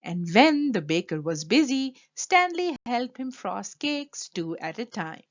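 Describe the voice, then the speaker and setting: Female storyteller for kids' picture books: unhurried, emphatic read-aloud with big swings in pitch. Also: dull audio. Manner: animated, emphatic delivery for children. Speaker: storyteller reading a children's book.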